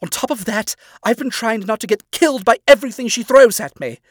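A stern demon owl father, put on by a man reading a fan story, pitched well above the reader's own voice. He scolds and accuses in hard, pointed phrases that climb toward shouting. The sound is close and dry, with no room around it. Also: big pitch swings, a little slow, dry recording, heavily acted. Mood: furious.

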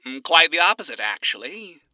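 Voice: medium pitch, big pitch swings, brisk, bright, forceful tone, no echo, voice pushed up. A reader voicing a smug, genteel radio demon. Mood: breezy. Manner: formal, genteel phrasing. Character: smug, courtly male radio demon, gentlemanly.

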